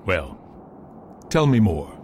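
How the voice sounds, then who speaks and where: A man's voice: low-pitched, very animated, takes it slowly, a little mellow, heavily acted. A man playing a curious, dry-humoured detective friend in a radio play, speaking formally and wryly. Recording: faint background noise.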